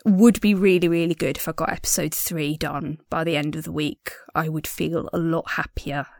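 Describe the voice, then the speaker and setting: A woman's voice: low-pitched, fairly even intonation, moderate pace, no echo, clean studio sound. A woman speaking in a casual audio diary, chatty and a little tentative, with a self-effacing manner. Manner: giggles often while talking. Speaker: podcast diarist and audio drama creator.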